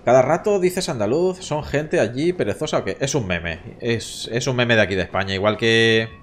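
A man in his thirties from Barcelona streams a game from home, and his Spanish accent comes through as he jokes and swears with his chat. His pitch jumps around a lot, and a soft hiss of room noise sits under his voice. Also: expressive, normal speed. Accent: Castilian Spanish from Barcelona. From Catalonia, Spain. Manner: chatty, swearing, joking with chat. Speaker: gaming streamer. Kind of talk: casual live gameplay commentary.

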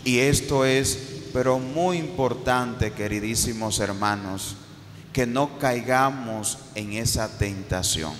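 Man, priest, sermon at pulpit, stand mic, very slow delivery, echoing church. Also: medium pitch. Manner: slow, rising and falling preaching cadence with repeated phrases. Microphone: handheld mic on a stand. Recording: reverberant church with faint background noise.

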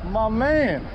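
Hyped guy yelling cheers on a boat, high bouncy voice, wind roaring. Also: dull audio. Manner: whooping, cheering, playful. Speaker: angler on the trip. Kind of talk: excited banter while fishing. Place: open deck of a boat at sea, outdoors. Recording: wind and engine noise outdoors.

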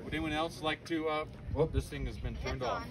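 Middle-aged man, ski patrol emcee, loud and chatty, slightly high voice, far from the mic, background noise. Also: brisk. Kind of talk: hosting a memorial event. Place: outdoor stage at night. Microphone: handheld mic, filmed from the audience. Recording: distant phone capture with background noise.

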